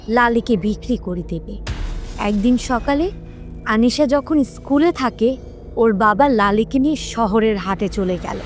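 A woman reads a scripted story for young children in the role of storyteller. Her voice sits a little high. Music plays behind her narration.

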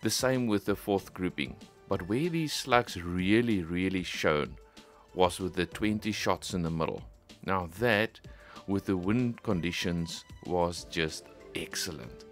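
A middle-aged man presenting a shooting vlog in a casual, expressive way, talking straight to his viewers. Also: low-pitched, unhurried, soft background music. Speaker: host of an airgun shooting channel.

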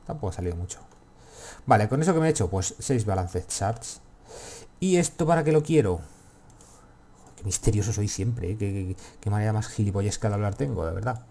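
Mellow, gravelly Spanish man muttering through gameplay. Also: on the low side, fast talker, a little hiss or hum. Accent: Castilian Spanish from Spain. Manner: rambling self-talk, swearing freely. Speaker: gaming video host. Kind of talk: casual gameplay commentary.

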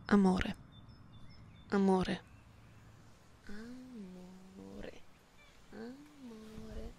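Casting: woman playing playful, affectionate cat-girl for ASMR roleplay. Unhurried, soft, soothing, gravelly fry; noisy close binaural recording. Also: mid-range voice. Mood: teasing, encouraging. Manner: soft, teasing, soothing, slipping into whispers.

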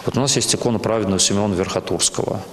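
A man's voice: fairly low pitch, racing. A middle-aged man, a parish priest, telling stories in an on-camera interview. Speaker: parish priest, church rector. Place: inside a small church. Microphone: handheld interview microphone. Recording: echoey, reverberant church interior.

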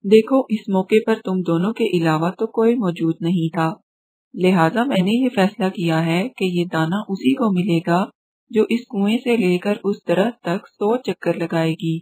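Woman doing a wise old boss parrot for a kids cartoon, soft mellow husky tone, measured and sure. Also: mid-range voice. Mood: measured, explaining. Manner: measured, authoritative. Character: wise, judicious male parrot elder.

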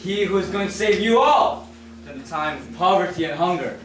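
A man's voice: high-pitched, expressive, normal speed, fairly bright tone. A young man playing a pompous herald of a divine astronomer, declaiming theatrically on stage. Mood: solemn, commanding. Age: young adult. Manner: pompous, proclaiming. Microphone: far camera. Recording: roomy stage, distant camera, background noise.

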